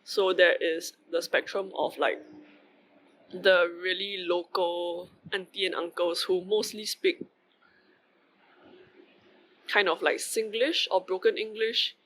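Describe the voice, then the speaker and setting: A man's voice: high-pitched, steady tone, slow, pauses often, fairly bright tone, low-quality recording. A young man with a Singaporean accent, talking through his thoughts in a casual, rambling monologue filled with fillers and asides. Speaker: vlogger.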